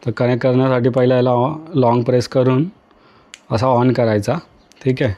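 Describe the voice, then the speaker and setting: A man's voice: medium pitch, flat delivery, normal speed, warm tone, muffled recording. A man with an Indian accent from Maharashtra gives a casual hands-on tutorial, talking to viewers in short, repeated instructional phrases. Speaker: vlogger, tutorial host.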